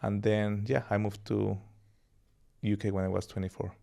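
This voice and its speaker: A man in his thirties from Colombia with a Colombian accent and Spanish as his first language, telling his life story as a podcast guest in a relaxed, rambling way. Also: fairly low pitch, moderate pace, gravelly. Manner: relaxed, laughs easily, rambling anecdotes. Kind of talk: casual interview storytelling.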